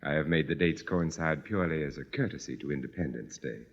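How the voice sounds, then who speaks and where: An actor playing a haughty, cultivated aristocratic landowner, formal and courtly, in an old-time radio drama. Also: male voice, very deep voice, fast, gravelly, heavily acted. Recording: muffled vintage radio recording with music.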